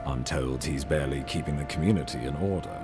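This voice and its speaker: An actor playing a weary, dutiful imperial ambassador in a fantasy game, speaking in a measured, formal, resigned way. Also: male voice, extremely low pitch, over music. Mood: instructing, formal. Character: weary, dutiful male imperial ambassador, self-doubting yet courteous. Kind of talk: dramatic fantasy game dialogue.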